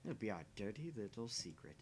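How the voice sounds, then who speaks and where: A man's voice: sing-song, a little fast, breathy edge, heavily acted. A man voicing a smug, jaded husband in a visual novel, mocking and condescending as he teases. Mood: teasing. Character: smug, sneering, jaded married man teasing his dinner date. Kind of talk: voice-acting visual novel dialogue. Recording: faint background rumble and noise.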